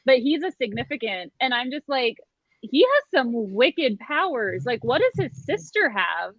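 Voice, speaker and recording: A woman's voice: high voice, big pitch swings, unhurried, a bit bright, no echo, highs cut off. A woman co-hosting a casual book discussion, with slangy asides and dramatic quoting. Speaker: co-host of a book discussion livestream. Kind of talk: casual chat.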